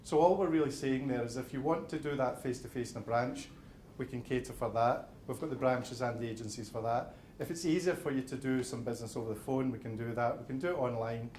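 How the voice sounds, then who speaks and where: A man's voice: mid-range voice, fast, darkish tone, roomy sound, slight background noise. A man with a Glasgow accent, a building society manager explaining things plainly to members at an event, often restarting his sentences. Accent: Glaswegian. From Scotland. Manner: plain-spoken, explains step by step with repeated openers. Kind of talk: presentation and audience Q&A. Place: event venue with an audience.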